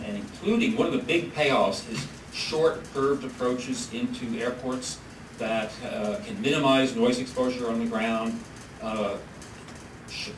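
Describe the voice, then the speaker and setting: A man giving a policy presentation at a conference, halting and full of fillers and restarts. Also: mid-range voice. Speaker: policy researcher presenting a study. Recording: echoey room from far off, noisy.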